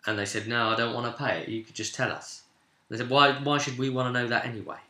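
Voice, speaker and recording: A man's voice: medium pitch, flat delivery, fast, bright, forceful tone. A man casually retelling a tabletop role-play session as game master, rambling and informal, slipping into bits of dialogue. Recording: echoey room.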